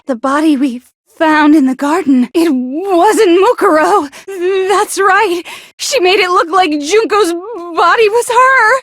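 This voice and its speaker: A woman voicing an anxious, gloomy high school girl in an anime game dub, stammering and accusatory. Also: dry recording, studio quality. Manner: stammering, accusatory, easily flustered.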